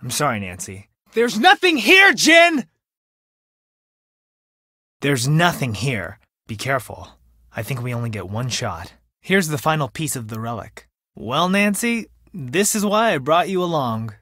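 A man's voice: a little high, big pitch swings, a little slow, pauses often, pushed and bright, no room sound, studio quality, full character voice. A man playing an earnest, impulsive young man in a video game, blurting his lines with open emotion. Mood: urgent.

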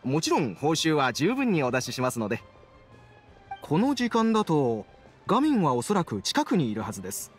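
An earnest young clerk in an anime game, courteous and formal. His voice sits slightly high and moves expressively, with faint music behind it. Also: wildly swooping pitch, normal speed. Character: polite, earnest young male office clerk. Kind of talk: Japanese anime game voice acting.

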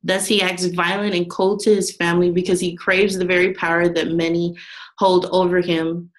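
A young woman reads a class presentation off her slides in a fairly low voice. Her delivery stays flat and even, with the odd restart. It sounds like a student working through prepared notes. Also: moderate pace, poor audio.

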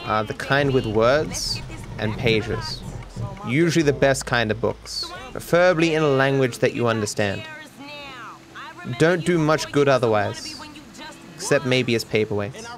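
A man's voice: mid-range voice, fairly bright tone. Dryly sarcastic Australian male streamer. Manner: dry, sarcastic joking. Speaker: video game streamer. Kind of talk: casual live stream chat over gameplay.

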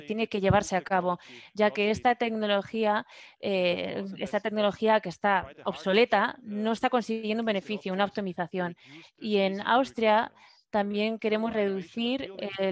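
A woman doing live simultaneous interpretation of a technical presentation, speaking haltingly, phrase by phrase. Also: mid-range voice.

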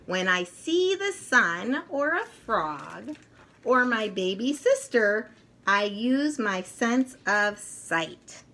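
A middle-aged woman reads and teaches to young children in an expressive, deliberate, sing-song storytelling manner. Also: on the high side. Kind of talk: picture book read-aloud for young children. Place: furnished room at home, indoors.

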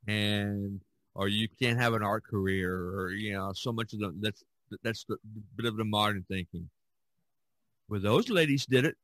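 An older man hosts a relaxed, conversational podcast. His voice sits fairly low and is recorded quite dry, and he wanders through his thoughts, circling back to repeat phrases. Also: moderate pace, no room sound, low-quality recording. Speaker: podcast host. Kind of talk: casual chat.

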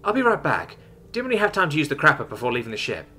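A man's voice: a little high, wildly swooping pitch, rapid-fire, bright, forceful tone, soft background music, voice pushed up, heavily acted. A man voicing a blunt, roguish human woman spacefarer with a casual, cocky, sweary manner in a story. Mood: pleased, casual.

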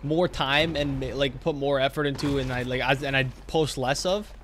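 A man's voice: mid-range voice, fairly even intonation, normal speed, fairly bright tone, a little hiss or hum. A young man streaming from his home gaming room, chatting casually, laughing often and thanking donors. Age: young adult. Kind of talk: casual chat while gaming.

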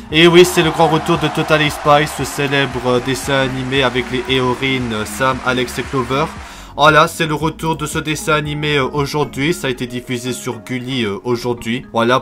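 A man's voice: medium pitch, fairly bright tone. A young man hosting a casual TV news video, talking in an enthusiastic, repetitive fan manner. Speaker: YouTube host. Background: music.

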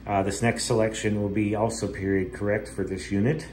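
A man's voice: lowish voice, a little fast, soft background music. A middle-aged man giving a casual, rambling demonstration as a vintage gear hobbyist. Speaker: vintage tape recorder collector demonstrating a machine. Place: home dining room. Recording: roomy echo, steady background noise.

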